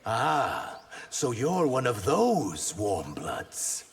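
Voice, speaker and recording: A man's voice: mid-range voice, huge pitch swings, extremely slow, heavy background noise, full character voice. A man playing an earnest, vengeful reptilian warrior in a video game, speaking with intense, dramatic defiance. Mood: taunting. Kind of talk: video game character dialogue.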